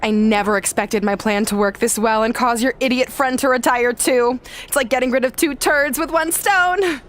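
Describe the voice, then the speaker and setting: An actress in a video game cutscene playing a spiteful, gloating wrestling villainess with a sneering, sarcastic, haughty manner. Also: female voice, fairly high pitch, moderate pace, close to an everyday voice. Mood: gloating, mocking.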